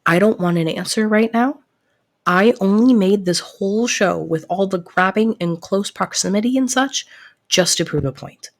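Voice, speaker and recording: A woman playing a devoted, protective best friend in an intimate audio roleplay, soft-spoken and earnest, easily flustered. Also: mid-range voice, close to an everyday voice. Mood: embarrassed, nervous. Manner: earnest, reassuring, halting when flustered.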